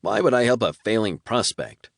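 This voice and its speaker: A man's voice: on the low side, animated, normal speed, creaky, no room sound, clean studio sound, pitched above the performer's own voice. A man voicing a breezy, folksy male demon who runs his business coldly and calls people Hoss.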